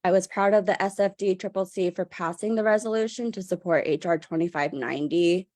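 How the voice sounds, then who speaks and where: A woman reads a prepared statement as public comment. Her voice sits a little low and sounds dry, without room echo. Her delivery is formal and firm. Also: fairly quick, a little mellow.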